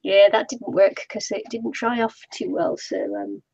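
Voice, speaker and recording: A woman's voice: medium pitch, fairly even intonation, unhurried, vocal fry, a little mellow, breathy edge, dry recording, dull audio. A woman co-hosting a craft stream, chatting playfully and teasing her co-host. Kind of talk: casual chat while crafting.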